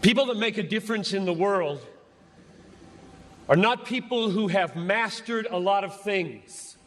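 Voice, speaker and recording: A man preaching an impassioned sermon to a crowd as a pastor, speaking emphatically and deliberately with dramatic pauses between short phrases. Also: high voice, a bit bright. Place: large gathering before a crowd. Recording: echoey large venue, long reverb.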